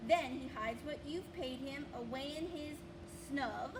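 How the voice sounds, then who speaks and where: A woman reading a children's picture book aloud in a theatrical, emphatic storytelling manner, drawing out words. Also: unhurried. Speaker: guest reader, community leader. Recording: distant mic, noisy, low rumble.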